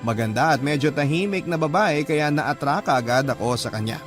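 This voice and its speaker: Male Filipino narrator for a radio-style love story, fairly high voice, clear music bed underneath. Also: brisk. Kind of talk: first-person dramatized letter narration. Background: background music.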